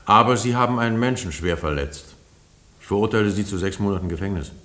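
An actor in a German radio play playing a stern, dry judge with a formal, lecturing manner. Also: male voice, fairly low pitch, fast talker, fairly bright tone, close to an everyday voice. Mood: dry, measured.

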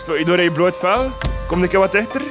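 An actor playing a boozy, lecherous village farmer with a Flemish accent, tipsy and rambling in a radio comedy drama. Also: male voice, high-pitched, lively intonation, brisk, over music. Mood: drunken, leering.

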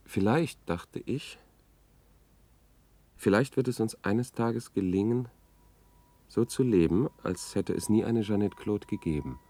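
An actor playing a troubled news editor, recounting events in a measured, reflective way in a 1970s German radio drama. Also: male voice, on the low side, dark tone. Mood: pensive. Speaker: radio play narrator.

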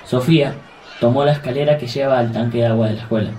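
A man's voice: mid-range voice, fairly even intonation, quick pace, mellow, slight background noise, low-quality recording. A man with an Argentine accent solemnly narrating a scripted radio drama.

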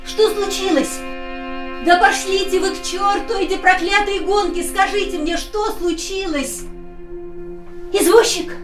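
A woman playing a distraught young woman desperate for her lover, impassioned and pleading, in an emotional radio play. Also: extremely high pitch. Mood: frantic. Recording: echoey radio drama with music behind.

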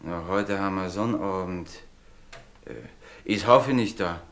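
An actor playing a hearty village blacksmith in a radio play, rambling colloquially. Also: male voice, low voice, a little slow, fairly bright tone. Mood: genial, rambling. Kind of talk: German radio play acting.

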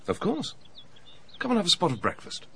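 An actor playing an older, complacent upper-class English banker in a radio drama, genial, assured and bluff, with a well-bred English accent. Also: male voice, high-pitched, wildly swooping pitch, normal speed, creaky, a bit bright, slight background noise, exaggerated voice. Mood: genial, offhand.

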